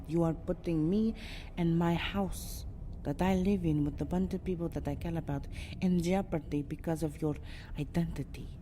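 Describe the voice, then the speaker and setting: A low, mellow female vampire, acted by a woman, seductive yet guarded, in an intimate ASMR roleplay. She speaks in formal, dramatic phrases and often drops to a breathy whisper. Faint noise sits behind the voice. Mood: exasperated. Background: soft ambient sound.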